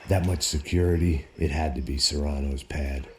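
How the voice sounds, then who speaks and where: An actor playing a world-weary, cynical middle-aged American ex-cop who narrates a crime game in a deadpan, sardonic voice. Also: male voice, rumbling low, moderate pace, slight background noise. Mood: grim, dry. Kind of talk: noir voice-over narration.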